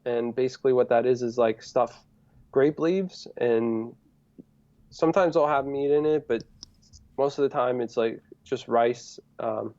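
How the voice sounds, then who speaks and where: A man raised in the United States who grew up speaking Greek at home, chatting casually as a guest on a live stream in a relaxed, rambling way. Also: medium pitch, unhurried, muffled recording. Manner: relaxed, rambling, laughs easily.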